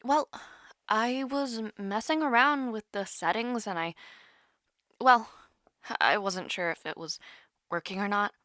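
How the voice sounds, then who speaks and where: Woman acting a shy, teasing young woman, bright tone, hesitant, intimate audio roleplay, close dry mic. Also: medium pitch, measured pace, pauses often, dry recording. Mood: awkward, self-conscious. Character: nervous, playful young woman calling her online crush. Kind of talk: intimate audio roleplay, one-sided call.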